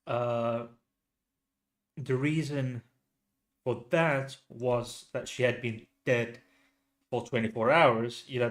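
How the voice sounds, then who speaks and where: A man with a Finnish accent, host of a true crime channel, talking casually with lots of restarts and pauses. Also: medium pitch. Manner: hesitant, many restarts and fillers. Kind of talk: casual solo commentary.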